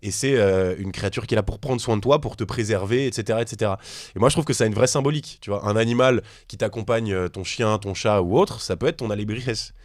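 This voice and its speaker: A man's voice: fairly low pitch, fast, dry recording, studio quality. A man co-hosting a casual podcast among friends, joking around and then explaining things earnestly. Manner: joking, puts on silly accents, explains earnestly. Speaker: podcast co-host. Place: studio table with friends.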